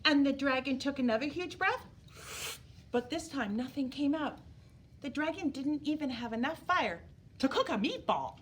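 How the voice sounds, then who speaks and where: A woman reading a picture book aloud to young children as a storyteller, animated and emphatic, drawing words out for drama. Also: high-pitched, moderate pace, slight background noise. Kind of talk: expressive children's read-aloud.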